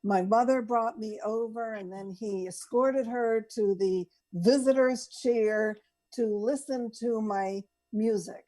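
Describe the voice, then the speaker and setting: A woman co-hosting a casual talk, telling personal stories in a halting, deliberate way. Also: a little high, fairly even intonation, dark tone, poor audio. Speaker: co-host.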